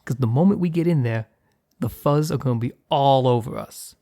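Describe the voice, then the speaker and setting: A man playing a gruff, short-tempered mob boss in an ASMR roleplay, with a gravelly, husky voice. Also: mid-range voice, normal speed, natural voice. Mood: commanding, businesslike. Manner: commanding street-tough slang with crew, flustered hushed stammer with partner. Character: gruff, short-tempered male mob boss hiding his work from partner.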